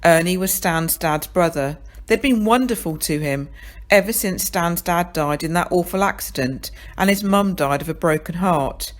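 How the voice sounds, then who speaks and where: Lower-voiced woman telling a children's story. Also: normal speed. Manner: dramatic, addressing the listener directly. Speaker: story reader. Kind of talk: scripted children's story narration.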